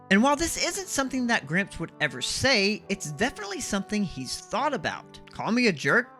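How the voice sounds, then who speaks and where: A man's voice: high voice, pushed and bright. A man narrating a scripted video game analysis as the series host, explaining things with emphasis. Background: background music.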